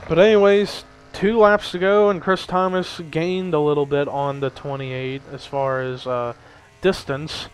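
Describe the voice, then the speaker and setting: A man's voice: on the high side, level tone, measured pace, rough recording. A young man giving casual play-by-play commentary on a simulated race, chatty and lifting his energy at the big moments. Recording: faint background noise.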